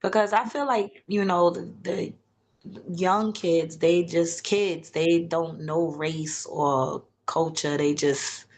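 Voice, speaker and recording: A woman in a training group voices a personal view, hedging and pausing as she goes. Her voice is low and her delivery slow. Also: steady tone. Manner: tentative, offering a personal opinion. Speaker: training participant.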